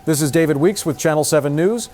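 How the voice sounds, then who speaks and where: A man's voice: on the high side, fast talker, darkish tone, a little hiss or hum, natural voice. A man playing a grave, formal news anchor in a scripted disaster newscast drama. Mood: grave, urgent. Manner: formal, solemn.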